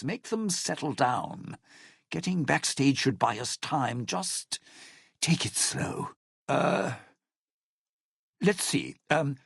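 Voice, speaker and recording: A self-serving ghoul showman from a video game, his voice heavily gravelled and husky. He drawls unhurriedly in clipped, sardonic phrases, with theatrical flair and very wide swings of pitch. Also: dry recording, studio quality, exaggerated voice. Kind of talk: video game character dialogue.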